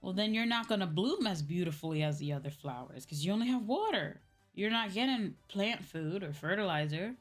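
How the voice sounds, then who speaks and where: A woman's voice: medium pitch, lively intonation, a little fast. A woman streamer chatting casually with her viewers, explaining in an informal, repetitive way and often checking in with "right?". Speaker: streamer, VTuber host. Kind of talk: casual livestream chat answering viewers. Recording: faint background music. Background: faint music.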